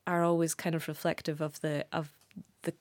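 A woman journalist chatting as a podcast guest, her voice on the lower side for a woman. She tells her stories in a lively, playful way and laughs often. The sound is dry and close, with no echo. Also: fairly quick. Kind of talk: casual conversational interview.

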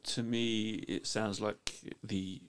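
A man's voice: lowish voice, flat delivery, slow, a bit bright. A man with a London accent, a linguist guest giving blunt opinions with many restarts. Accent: London English. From England. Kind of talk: casual opinionated discussion.

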